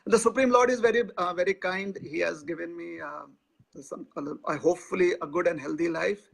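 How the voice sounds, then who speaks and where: Hesitant gravelly man in his forties. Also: high-pitched, a little fast. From India. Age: 40s. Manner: hesitant, many filler sounds. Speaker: interview guest. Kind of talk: live online interview.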